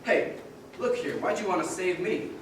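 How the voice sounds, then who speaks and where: A man's voice: sits high, normal speed, distant mic. A man playing a bitter, despairing, weary man in a live radio drama, curt and sardonic. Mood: weary, sceptical. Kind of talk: live old-time radio drama acting. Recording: echoey, noisy theater stage from afar.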